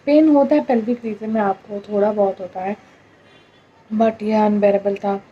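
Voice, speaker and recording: A young Indian woman vlogging to camera, hoarse from a throat infection, speaking in a tired, plaintive way and mixing in English words. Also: mid-range voice, fairly even intonation, moderate pace, lo-fi sound. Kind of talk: casual talk to camera.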